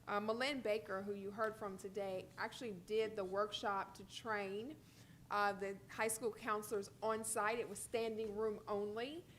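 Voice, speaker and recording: A woman's voice: mid-range voice, steady tone, moderate pace, a bit bright, slight background noise. A woman giving a prepared but conversational report as a commissioner at a board meeting. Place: public board meeting room.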